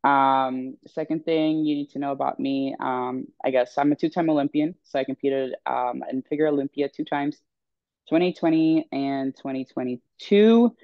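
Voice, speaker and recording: A woman's voice: extremely low pitch, normal speed, dry recording, dull audio. A woman in her twenties from Sweden, a coach speaking as a podcast guest in a candid, chatty way with many ums. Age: 20s. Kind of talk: casual interview chat.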